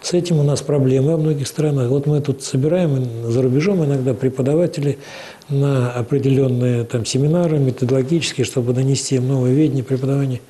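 A man answering interview questions at length as an official, explaining in a measured way with frequent hesitations. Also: medium pitch, steady tone, warm tone. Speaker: interview guest, cultural organization official.

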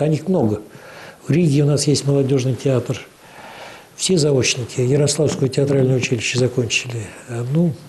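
A man answering interview questions at length as an official, explaining in a measured way with frequent hesitations. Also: mid-range voice, warm tone. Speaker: interview guest, cultural organization official.

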